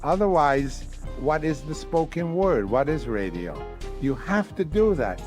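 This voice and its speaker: A man's voice: fairly high pitch, big pitch swings, unhurried, a little mellow, faint music behind. A man, a radio producer, speaking emphatically in an archival interview.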